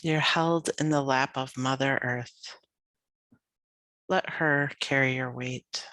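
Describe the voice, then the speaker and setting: A woman leading a guided yoga nidra meditation as a teacher, speaking gently and soothingly with unhurried phrases and long pauses. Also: extremely low pitch, steady tone, dry recording, rough recording.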